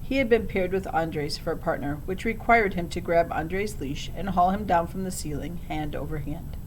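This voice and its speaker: Female storybook reader, slightly low voice, some rumbly background noise. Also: moderate pace, low-quality recording. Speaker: audiobook reader. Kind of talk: reading a children's storybook aloud.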